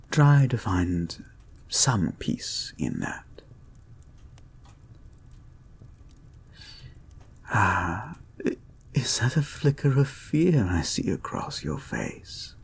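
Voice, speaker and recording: A man playing a soothing, possessive and gently controlling therapist in an intimate hypnosis audio roleplay, speaking in a soft, breathy, hushed and deliberate way. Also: fairly low pitch, full character voice. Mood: calming, sinister. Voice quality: soft, breathy, husky, often near a whisper. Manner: slow, hushed, deliberate, coaxing.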